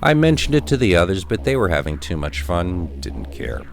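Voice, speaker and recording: A man narrating a scripted first-person scary story in an expressive, emphatic storytelling manner, drawing out key words. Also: sits low, moderate pace, gravelly, faint music behind.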